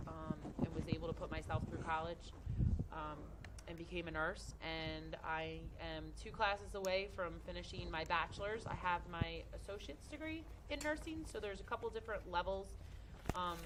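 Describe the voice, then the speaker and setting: A woman from Delaware, a nurse guest, speaking in a friendly, hesitant way to young listeners with many pauses and fillers. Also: fairly low pitch, background noise. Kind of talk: casual talk to young audience.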